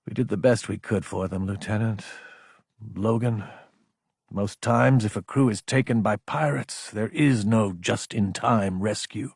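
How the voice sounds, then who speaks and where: A man voicing a female military superior in an audiobook, gentle, understanding and firmly encouraging. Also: mid-range voice, expressive, normal speed, slightly rough, no echo, clean studio sound, voice pushed up. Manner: gentle, reassuring. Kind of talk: audiobook character acting.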